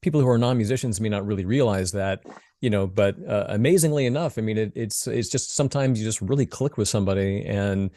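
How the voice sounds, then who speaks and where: A man's voice: lowish voice, fast, dark tone. A man hosting a music interview, asking questions and affirming his guest. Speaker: interview host.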